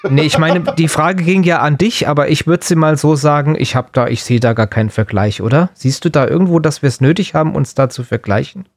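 A man's voice: fairly high pitch, fairly quick, hardly any pauses, dry recording, studio quality. A man co-hosting a podcast in casual, joking chat. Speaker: podcast co-host.

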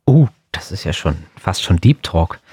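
A man's voice: sits low, sing-song, measured pace, dark tone, husky, no echo, clean studio sound. A man chatting in a casual, playful, joking way as a podcast co-host. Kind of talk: casual comedic podcast banter.

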